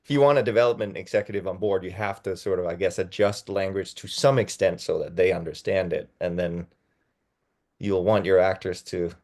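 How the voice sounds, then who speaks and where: A man's voice: sits low, fairly even intonation, a little fast, a little mellow. A man in a casual discussion, giving feedback as an actor, hesitant and searching for his words.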